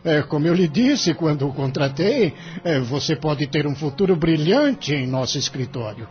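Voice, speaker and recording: A man's voice: a little high, expressive, moderate pace, close to an everyday voice. An actor playing a shrewd, patronising senior lawyer advising a younger man in an audio drama. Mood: smug, encouraging. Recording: dull lo-fi audio. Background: music.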